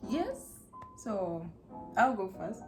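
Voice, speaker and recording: A young woman, co-hosting a casual couple chat, playful and quick to laugh. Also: mid-range voice, sing-song, music in the background. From Uganda. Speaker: co-host.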